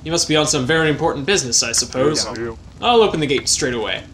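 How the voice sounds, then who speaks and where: A man's voice: a little high, lively intonation, fast, a crowd in the background, lightly acted. A man voicing a stiff, officious male border guard who goes by the rules. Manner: officious, by-the-book. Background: game music.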